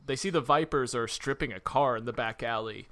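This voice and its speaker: A man's voice: medium pitch, a bit bright. A man co-hosting a casual movie podcast, laughing a lot and acting out lines from the film. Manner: laughs often, acts out film lines in quick voices. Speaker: podcast co-host. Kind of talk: casual movie review chat.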